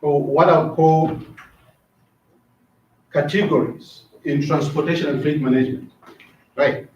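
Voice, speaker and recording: A man's voice: a little high, steady tone, a little slow, darkish tone, a little hiss or hum. Man lecturing in an echoey room. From Zambia. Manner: asks the class questions, repeats words, drops in "right?" often. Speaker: trainer. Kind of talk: classroom lecture. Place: training classroom. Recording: echoey room, distant mic, dull sound.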